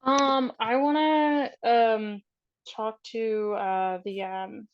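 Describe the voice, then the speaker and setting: Creaky woman on dull audio. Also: a little high, fairly even intonation. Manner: hesitant, halting. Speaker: tabletop game player. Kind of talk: casual, thinking aloud in a roleplaying game.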